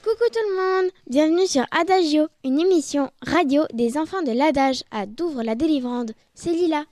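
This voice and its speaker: A young co-host on a children's radio show, cheeky and teasing, reading her lines. Her voice sits very high. The sound is dry and close. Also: moderate pace, darkish tone, no echo. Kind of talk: reading a script, then interviewing.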